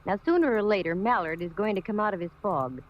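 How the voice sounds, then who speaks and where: A woman's voice: mid-range voice, lively intonation, close to an everyday voice. A woman playing a wisecracking, bold young female detective, acting and narrating in a 1940s-style radio drama. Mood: wry, confiding. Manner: sassy, wry, brisk. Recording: dull noisy old radio recording.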